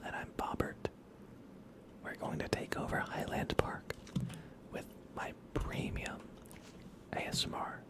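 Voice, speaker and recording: A man whispering softly and playfully close to the microphone, giving relaxed ASMR commentary while he games and chats with viewers. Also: mid-range voice. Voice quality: soft, breathy whisper. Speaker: live streamer. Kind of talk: whispered ASMR game stream commentary with chat.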